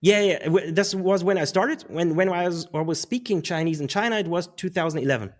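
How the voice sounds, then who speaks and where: Guy in his 30s, talks kinda high, rambles and laughs a lot. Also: fairly quick. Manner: rambling, restarts, laughs often. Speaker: guest. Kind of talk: casual interview conversation.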